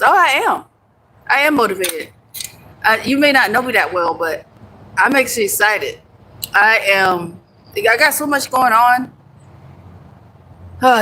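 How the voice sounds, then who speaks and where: Young American woman, bright forceful voice, casual livestream chatter, faint background hum. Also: mid-range voice, rough recording. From United States. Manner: chatty, answers comments, laughs easily, some swearing. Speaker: livestream host. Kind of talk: casual live chat with viewers.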